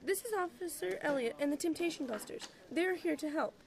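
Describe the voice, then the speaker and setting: A woman's voice: high voice, animated, a little fast, vocal fry, a little mellow. A woman playing an anxious supermarket worker in an audio drama, urgent and worried. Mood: relieved worry.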